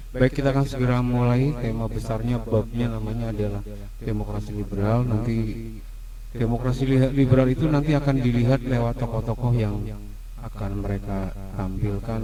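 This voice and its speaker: A man's voice: on the low side, rough recording. A man with an Indonesian accent giving a casual, explanatory introduction as a podcast host, often posing rhetorical questions. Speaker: host of a school history podcast.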